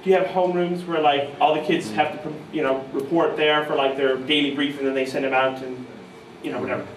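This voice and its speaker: A man in his thirties from New York state, telling animated, self-mocking stories to a live audience at a guitar clinic. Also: medium pitch, brisk, a little hiss or hum. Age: 30s. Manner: animated, self-mocking, mimics voices in quotes. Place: music store clinic room, audience present. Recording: roomy live sound from far off.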